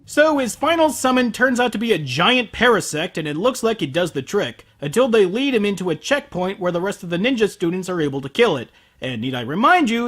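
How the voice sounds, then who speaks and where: A man's voice: high voice, fairly bright tone. A man delivering a scripted comedic review as host, sarcastic, exasperated and prone to swearing. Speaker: host of an anime review show.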